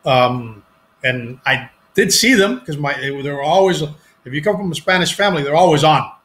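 A man in his forties with a Cuban accent, telling stories about his acting career in a casual video-call interview, animated and often restarting his sentences. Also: on the high side, moderate pace. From Cuban family. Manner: animated, acts out quoted voices, restarts sentences. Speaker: actor, interview guest. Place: home room, video call. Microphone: headset.

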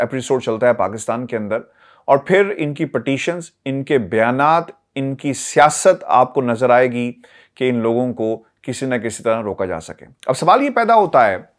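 A man in his forties with a Pakistani accent, delivering earnest, deliberate political commentary to camera with rhetorical emphasis. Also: medium pitch. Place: small indoor studio, seated. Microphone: lapel mic.

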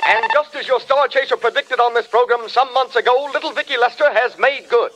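An actor playing a punchy, gushing Hollywood gossip reporter, announcing like a 1930s radio newsflash. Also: male voice, extremely high pitch, steady tone, low-quality recording. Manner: rapid-fire showbiz hype. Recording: vintage radio broadcast sound.

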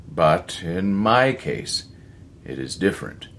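A man's voice: deep voice, sing-song, slow, fairly bright tone, slight background noise, heavily acted. A man voicing a rueful, guilt-ridden scientist of sixty in a story, sympathetic and resigned. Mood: sympathetic, grave.

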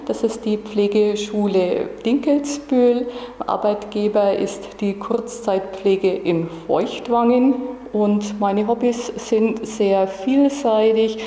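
A middle-aged woman from Bavaria, a nursing student, introducing herself in a relaxed way. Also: medium pitch, slow pace, reverberant, big-room sound, poor audio. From Bavaria, Germany. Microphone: handheld mic.

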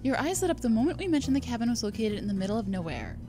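A woman's voice: fairly high pitch, very animated, racing, over music. A woman voicing an earnest young woman in a visual novel game, a caring but scolding friend. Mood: chiding, caring.

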